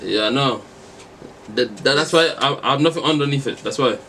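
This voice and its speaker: A man's voice: mid-range voice, brisk, a bit bright. Slangy teen guy on a noisy webcam. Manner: slangy, repeats words, stammers playfully. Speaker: vlogger chatting with strangers. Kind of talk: casual video chat banter. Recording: noisy video chat audio.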